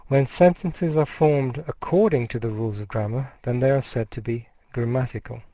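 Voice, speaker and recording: A man's voice: mid-range voice, normal speed, mellow, no room sound, low-quality recording. A middle-aged man lecturing to university students, explaining step by step and sometimes restarting a word mid-phrase. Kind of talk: recorded course lecture.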